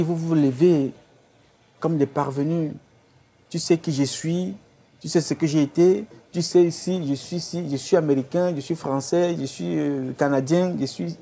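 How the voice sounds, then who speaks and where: A man's voice: on the high side, darkish tone, slightly rough. A man from Cameroon, an activist leader giving an impassioned address to his followers, full of repeated exhortations. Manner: exhorting, repetitive rhetorical appeals.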